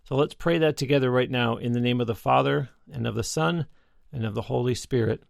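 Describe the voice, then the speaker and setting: A man's voice: medium pitch, brisk, gravelly, dry recording, studio quality. A man hosting a faith radio show, affably wrapping up an interview and leading a prayer.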